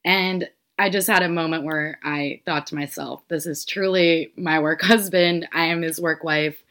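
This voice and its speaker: Lowish voiced woman in her 20s, rambly podcast storyteller, giggly. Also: steady tone, normal speed, fairly bright tone. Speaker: podcast co-host. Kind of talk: casual podcast chat.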